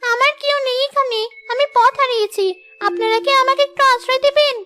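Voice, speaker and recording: A woman's voice: very high voice, fairly even intonation, fairly quick, bright, forceful tone. A woman voicing an innocent, earnest little fairy girl in a cartoon fairy tale. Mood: timid pleading. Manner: childlike, earnest.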